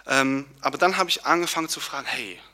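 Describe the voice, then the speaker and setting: A young man from Poland, giving an earnest personal testimony with pauses and restarts. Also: mid-range voice, bright, forceful tone. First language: Polish. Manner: earnest, searching, with fillers and restarts.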